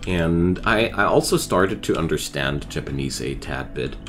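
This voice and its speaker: A man's voice: extremely low pitch, expressive, moderate pace, soft background music. A man who is not a native English speaker, chatting casually and rambling with viewers while streaming a game. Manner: rambling and chatty, restarts thoughts.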